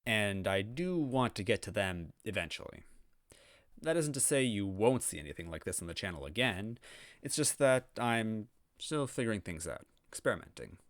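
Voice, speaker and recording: Low-voiced man in a roomy echo. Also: sing-song, normal speed, studio quality. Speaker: fan fiction reader and channel host. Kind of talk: casual reflective outro to viewers. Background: background music.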